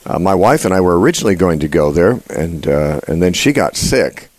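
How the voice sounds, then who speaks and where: A man's voice: very animated, a little mellow, dry recording. Congested man hosting call-in radio. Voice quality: usually a high nasal voice. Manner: halting, many uh fillers and restarts. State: congested, voice lower than usual. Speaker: radio show host. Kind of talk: live call-in Bible talk radio.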